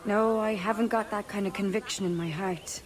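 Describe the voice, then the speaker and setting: An actress playing a frank, warm-hearted Irish woman in a pirate game cutscene, gentle and plain-spoken. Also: medium pitch, fairly even intonation, normal speed, a crowd in the background, natural voice. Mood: tender, wistful. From Ireland. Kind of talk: video game cutscene drama.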